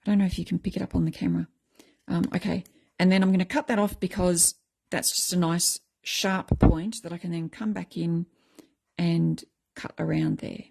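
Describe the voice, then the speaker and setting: A middle-aged woman with a croaky voice, chatting casually as she explains a craft technique step by step. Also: fairly low pitch, darkish tone. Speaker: craft tutorial host.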